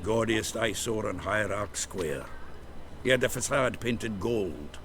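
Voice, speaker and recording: Blunt, earthy game rogue: low gravelly growl, slangy and hot-tempered, faint ambience behind. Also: male voice, slow pace, a bit bright, a little hiss or hum. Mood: blunt, scheming. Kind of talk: video game voice acting.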